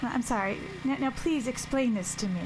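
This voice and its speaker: An actress performs a haunted, uneasy woman for an audio drama. Her voice sits somewhat high, hesitant and probing as she presses for answers. Faint noise lies behind her. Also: normal speed, lightly acted. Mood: insistent, anxious. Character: troubled, haunted woman recovering from addiction, insistent and uneasy.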